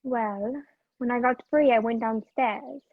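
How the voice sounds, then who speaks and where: Woman playing spirited young woman, radio play, high voice, sharp-tongued, slightly muffled video-call sound. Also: fairly even intonation, unhurried, no echo. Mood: hesitant, confiding. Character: feisty, sharp-tongued young woman reluctantly helping a fugitive. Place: video call, dry room.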